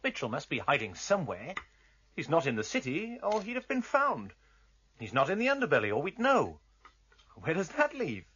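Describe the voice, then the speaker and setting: An actor playing an earnest, determined exile on a search in a radio drama, with a slightly husky, intense voice. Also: male voice, a little high, huge pitch swings, moderate pace, fairly bright tone, dull audio, full character voice.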